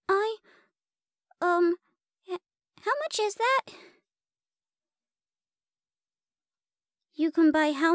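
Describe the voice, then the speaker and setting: A woman's voice: very high voice, fairly bright tone, no echo, studio quality, full character voice. A woman voicing a timid, naive young spider girl in an audio roleplay, stammering and flustered. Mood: anxious, flustered.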